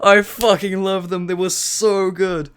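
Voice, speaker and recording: A man on a podcast talks in a hesitant, halting way. His voice is a bit higher than most men's and smooth and clear. He speaks very slowly. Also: level tone, a bit bright. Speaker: podcast co-host. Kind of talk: casual chat.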